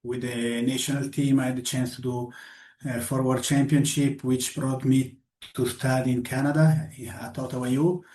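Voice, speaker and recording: A man, a goalie coach answering interview questions haltingly with many fillers. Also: medium pitch, level tone, a little mellow. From Switzerland. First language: Italian. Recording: roomy echo.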